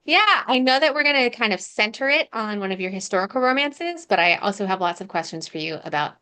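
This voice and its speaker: A woman's voice: mid-range voice, lively intonation, brisk, sharp tone, smooth. A woman hosting a podcast, delivering scripted introductions and conversational interview questions. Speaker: podcast host.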